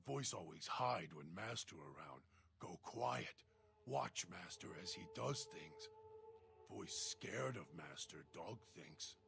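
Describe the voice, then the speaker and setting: A man's voice: sits low, animated, creaky, a bit bright, a little hiss or hum, full character voice. A man voicing a feral, broken-minded servant who calls himself Dog and talks of himself in broken third person. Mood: haunted. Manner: halting, childlike, fearful of an inner voice.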